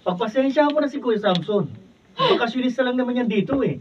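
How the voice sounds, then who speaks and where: An actor playing a meek, good-natured tenant, an anxious peacemaker who placates and stammers, in a Filipino radio drama. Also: male voice, very high voice, lively intonation, brisk, a crowd in the background. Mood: anxious, placating. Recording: distant mic, dull recording, faint noise.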